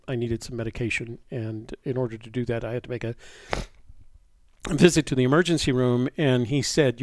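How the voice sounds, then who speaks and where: An American man in his sixties with a slightly congested voice from a cold, chatting casually and haltingly as a live stream host. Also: medium pitch, a little mellow, no room sound. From New Mexico, United States. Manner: halting, often pausing mid-thought. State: stuffy, getting over a cold. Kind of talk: casual live chat, rambling.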